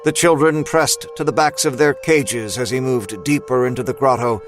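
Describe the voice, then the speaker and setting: A man's voice: medium pitch, normal speed, soft background music. A man narrating a scripted audio drama in a dramatic storytelling style.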